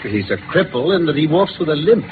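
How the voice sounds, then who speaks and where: A man with a British accent playing a brisk, incisive gentleman detective in a 1950s radio drama, articulate and theatrical as he lays out facts. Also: on the high side, big pitch swings. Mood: confident, explaining. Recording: noisy, phone-like old radio recording.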